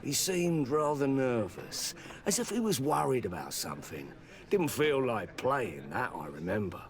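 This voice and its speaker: A tavern gambler in a fantasy game, mid-pitched with very lively intonation. He is wary and plain-spoken as he recounts what he knows. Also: measured pace. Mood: recalling. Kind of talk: video game dialogue acting.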